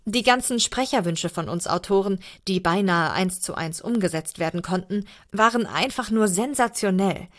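A woman's voice: mid-range voice, normal speed, few pauses. A woman reading an email aloud as a guest reader.

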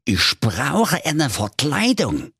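A man voicing a grumbling, scheming big bad wolf with a raspy voice in a comic fairy tale. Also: on the high side, huge pitch swings, takes it slowly, a bit bright, no echo, studio quality, pitched above the performer's own voice, full character voice. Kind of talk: comedic fairy-tale storytelling.

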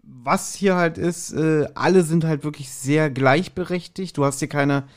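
A man's voice: fairly high pitch, measured pace. Casual, explanatory man co-hosting a podcast. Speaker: podcast co-host.

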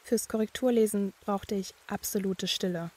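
Young female student narrator, read by an actress, medium pitch, flat intonation, measured eerie storytelling. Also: lightly acted. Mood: measured, uneasy. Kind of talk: scripted first-person horror story narration.

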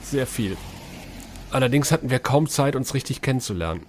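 Grieving, determined hero played by an actor. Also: male voice, mid-range voice, animated, brisk, darkish tone, slight background noise, close to an everyday voice. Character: grieving, determined male hero seeking revenge. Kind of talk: German audio drama acting.

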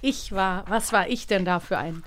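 A woman's voice: mid-range voice, moderate pace, a bit bright. A woman co-hosting a podcast in casual, playful conversation. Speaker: podcast co-host.

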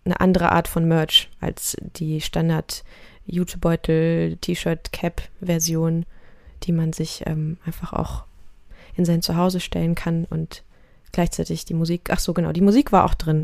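A woman's voice: low-pitched, creaky, no echo, clean studio sound. A woman musician, a native Russian speaker, talking casually in an interview with many fillers and restarts. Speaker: musician guest.

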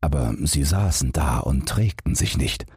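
A man's voice: very deep voice, animated, moderate pace, a little mellow, slightly rough, no echo, studio quality. A man reading a fairy tale as a scripted bedtime audiobook narration.

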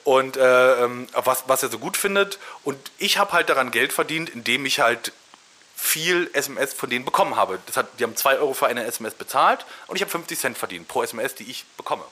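Bright, forceful man explaining casually. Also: medium pitch, animated, brisk. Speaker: podcast speaker. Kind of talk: casual personal storytelling.

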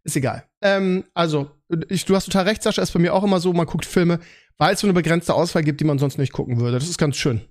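A man hosting a podcast in casual chat, rambling along and often halting to search for words and correct himself. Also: fairly high pitch. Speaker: podcast host.

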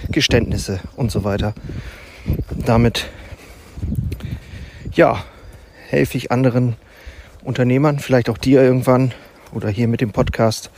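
A man talks through his own podcast while walking outdoors in a forest, with the quiet ambience of the woods faintly audible behind him. His voice sits at an ordinary middle pitch. He muses aloud in an unhurried way, pausing to think and filling the gaps as he goes. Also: background noise. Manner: musing and unhurried, with thinking pauses and fillers. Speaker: podcast host. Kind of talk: reflective solo podcast monologue. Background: faint forest ambience and animal sounds.

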